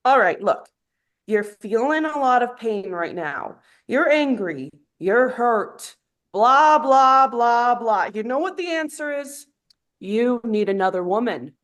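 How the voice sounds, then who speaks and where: A woman's voice: a little high, lively intonation, slow. A woman playing a cocky, blunt young macho actor in a sitcom script read. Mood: blunt, insistent.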